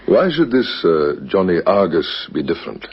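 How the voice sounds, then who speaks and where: A man's voice: sits low, very animated, normal speed, breathy edge, exaggerated voice. An actor playing a businesslike, probing police captain questioning people in a radio crime drama, a man with a politely formal manner. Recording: dull lo-fi vintage radio recording.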